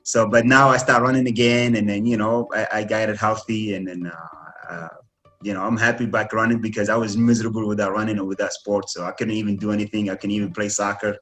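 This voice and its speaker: A man's voice: fairly low pitch, steady tone, quick pace, a bit bright, soft background music. Guy in his thirties, polite and laughs easy. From Kuwait. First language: Arabic. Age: 30s. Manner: polite, keeps saying yes sir, laughs often. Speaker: guest, former runner and coach. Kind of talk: casual interview answers.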